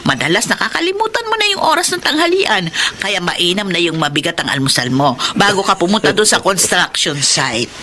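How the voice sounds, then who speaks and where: A woman's voice: on the low side, very animated, brisk, sharp tone, a little hiss or hum. An actress playing a doting, fussing middle-aged mother of a grown son in a radio drama. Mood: fussing, caring. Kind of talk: Tagalog radio drama acting.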